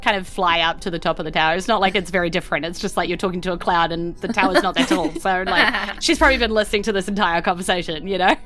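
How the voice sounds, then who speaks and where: A woman's voice: lowish voice, fast, hardly any pauses, fairly bright tone, soft background music. A woman with a New Zealand accent improvising casual, conversational narration as a tabletop game master. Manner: informal, conversational.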